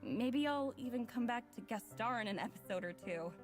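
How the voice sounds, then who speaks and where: A woman's voice: on the high side, lively intonation, moderate pace, lightly acted. An actress playing a reflective, wry young woman who narrates her own story in a visual novel. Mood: wistful, hopeful. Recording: music playing clearly underneath.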